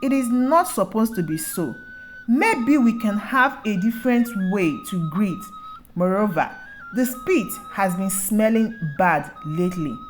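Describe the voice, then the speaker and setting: Dignified village chief, animated oratory, voiced by a woman. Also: a little high, soft background music. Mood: solemn, persuasive. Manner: formal, deliberate oratory. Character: dignified male village chief addressing his people. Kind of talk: folktale storytelling.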